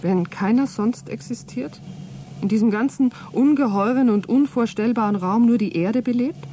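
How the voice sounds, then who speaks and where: A woman's voice: a little high, moderate pace, dark tone, slight background noise, close to an everyday voice. A woman acting an earnest, hopeful astronaut in a German radio play, speaking in an articulate, reflective way. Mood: awed.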